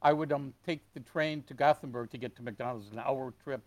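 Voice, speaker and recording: A man from Detroit chatting casually as a guest, telling stories with animated put-on voices. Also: brisk, no room sound. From Detroit, USA. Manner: animated storyteller, acts out customers in high voices. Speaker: guest, store owner. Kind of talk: casual chat.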